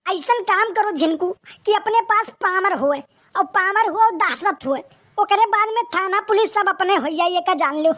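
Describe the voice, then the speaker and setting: Woman voicing a shrill, boastful young village gangster. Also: moderate pace, fairly bright tone. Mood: scheming. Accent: Awadhi. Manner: bragging, pushy. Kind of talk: comic cartoon dialogue.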